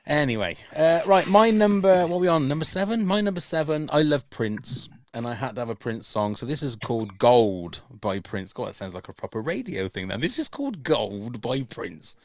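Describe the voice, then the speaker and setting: A man's voice: medium pitch, very animated, moderate pace, hardly any pauses, dry recording. A man hosting a podcast over a video call, chatty and self-deprecating, often laughing at himself. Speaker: podcast host. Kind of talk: casual podcast chat.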